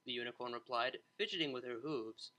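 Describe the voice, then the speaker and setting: Bright, animated story narrator. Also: medium pitch, brisk.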